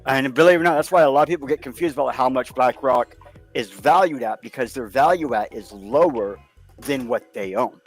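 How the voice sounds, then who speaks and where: A man's voice: medium pitch, brisk, husky, soft background music. A man in casual chat, earnestly explaining a point at length.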